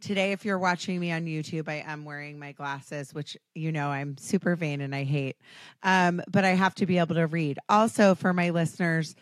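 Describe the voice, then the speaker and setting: Hoarse low-voiced American woman host. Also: steady tone, normal speed, no echo. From Washington, D.C. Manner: chatty, self-deprecating, frequent ums. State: hoarse, raspy voice. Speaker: podcast host. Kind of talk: casual chat.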